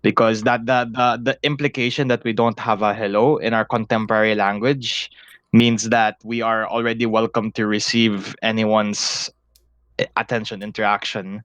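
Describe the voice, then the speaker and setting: A man's voice: on the low side, fairly bright tone, clean tone, no room sound. A young man with a Filipino accent, co-hosting a casual podcast and talking at length in a rambling, analytical way, swearing freely. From Philippines. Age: young adult. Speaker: podcast co-host.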